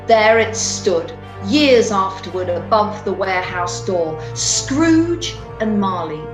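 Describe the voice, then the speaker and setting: A woman's voice: mid-range voice, lively intonation, takes it slowly, over music. Woman storyteller in a roomy echo. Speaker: narrator. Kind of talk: storytelling narration for amateur radio play.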